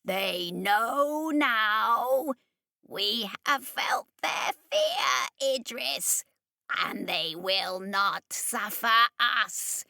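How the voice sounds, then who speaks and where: A woman voicing a vast, obsessive alien entity that speaks slowly and commandingly, its voice thundering from the walls. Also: expressive, gravelly, bright, forceful tone, dry recording, clean studio sound, exaggerated voice. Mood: ominous. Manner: slow, booming, commanding.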